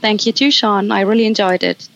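A woman's voice: mid-range voice, fairly even intonation, a little hiss or hum. Woman guest on a work podcast, earnest advice, kinda muffled sound. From Germany. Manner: earnest, advice-giving, measured with some pauses. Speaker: project manager at a recruitment firm.

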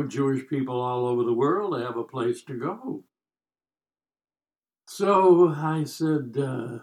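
A man's voice: mid-range voice, poor audio. An American man in his nineties telling stories casually, with a wry, joking, rambling manner. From United States. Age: 90s. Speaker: podcast guest telling life stories. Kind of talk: casual storytelling.

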